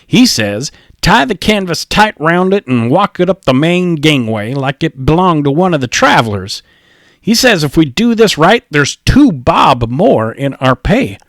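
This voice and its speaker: Man playing a wiry, complaining sailor, raised pitch. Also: sing-song, a little slow, no room sound, studio quality. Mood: conspiratorial, gruff. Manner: rough, ungrammatical working-class sailor talk. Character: thin, wiry, strong sailor, complaining and argumentative. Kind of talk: audiobook character voice.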